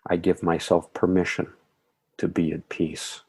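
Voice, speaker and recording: Deep, slow, husky male meditation guide. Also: little pitch movement, a little mellow. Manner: slow, soothing, measured phrasing.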